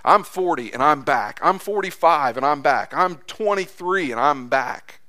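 A man preaching a sermon in a church, impassioned, his voice climbing high as he acts out quotes, then dropping low and soft. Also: lively intonation, a little slow. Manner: impassioned, voice rising high when acting out quotes. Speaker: pastor.

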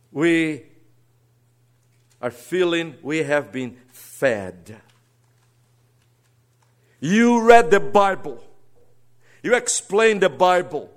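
Brazilian-accented man preaching a sermon. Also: medium pitch. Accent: Brazilian Portuguese. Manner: earnest, swings between soft asides and emphatic rises. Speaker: guest preacher, seminary teacher.

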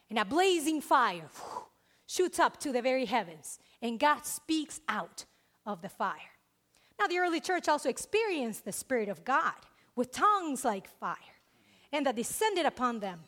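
A woman's voice: high voice, fairly bright tone. A woman in her thirties with a Spanish accent, preaching a sermon earnestly and emphatically.

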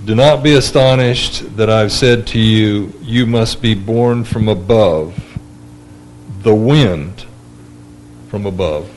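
Man with a big voice reading scripture real slow in a church group. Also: on the low side, a little mellow, a little hiss or hum, low-quality recording. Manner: slow and deliberate. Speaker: church discussion group member. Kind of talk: casual group discussion, reading scripture aloud.

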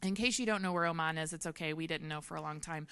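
Woman, 30s, missionary at a church; earnest testimony, dry close sound, slightly low. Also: fast, pushed and bright, clean tone, no room sound. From Memphis, Tennessee. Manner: earnest, laughs often, many fillers. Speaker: missionary speaker. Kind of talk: personal testimony to a congregation.

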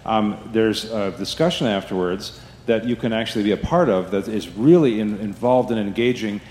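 A man's voice: fairly low pitch, moderate pace, a little mellow. A chatty man gives announcements to a congregation, often checking in with the crowd. His voice rings out through a large church hall with a long reverb. A faint hum of ambience sits under it. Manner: chatty, keeps asking "right?". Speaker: church staff giving announcements. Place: church sanctuary.

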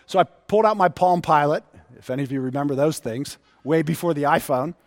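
A man's voice: fairly high pitch, clean studio sound. Man in his fifties preaching, reverberant hall. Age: 50s. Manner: emphatic pauses and repetition for weight. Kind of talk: sermon to a congregation.

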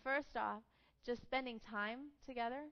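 Gravelly Salvadoran woman preaching. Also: a little high, fairly even intonation, a little slow, muffled recording. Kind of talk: sermon.

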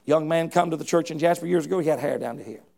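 Middle-aged male preacher, high and gravelly voice, fervent and folksy, frequent restarts. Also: rapid-fire, a little mellow. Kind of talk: sermon.

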